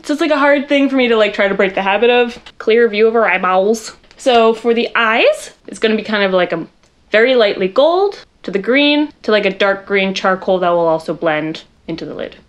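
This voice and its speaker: A young woman with a loud voice chatting casually in her bedroom while doing a makeup tutorial, rambling and poking fun at herself. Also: mid-range voice, expressive, brisk, dull audio. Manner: chatty, rambling, self-deprecating, talks to viewers while working. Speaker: makeup vlogger.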